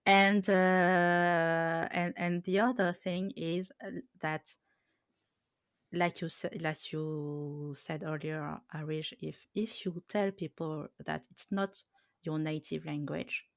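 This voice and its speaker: A woman with a French accent hosting a podcast, talking casually with many pauses and restarts. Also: deep voice, steady tone, no echo, studio quality. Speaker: podcast host.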